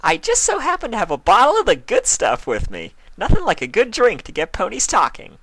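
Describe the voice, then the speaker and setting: A bubbly young mare from a cartoon fan story, acted out by a man. The voice sits high and swings up and down with girlish, gushing excitement. It is recorded close and dry. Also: a little fast, no room sound, full character voice, poor audio. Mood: playful, chatty. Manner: gushing, exaggerated girlish delivery. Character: bubbly, hyper, pleading young female party pony.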